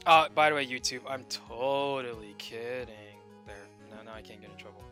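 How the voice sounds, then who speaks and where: A young man streaming a video game, joking and excitable, swinging between loud outbursts and low muttering. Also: moderate pace, faint music behind. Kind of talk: casual gameplay commentary.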